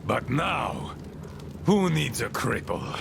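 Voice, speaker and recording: Gravelly, bitter old boat commander. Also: male voice, mid-range voice, very animated, slow, background noise, full character voice. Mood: bitter, accusing. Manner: theatrical, grumbling, rambling. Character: bitter, commanding, eccentric old boatman called Admiral. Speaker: game character, leader of a small river crew. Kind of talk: dramatic video game monologue.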